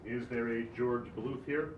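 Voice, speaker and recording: A man's voice: medium pitch, fairly even intonation, unhurried. A man reading narration and parts from a script at a live comedy table read. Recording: distant, echoey, muffled, noisy room.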